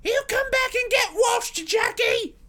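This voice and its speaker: A man's voice: extremely high pitch, unhurried, sharp tone, pitched above the performer's own voice, full character voice. A man voicing a stout, fretful working-class London wife who talks on and on in colloquial, deferential speech, in a story reading. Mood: scolding.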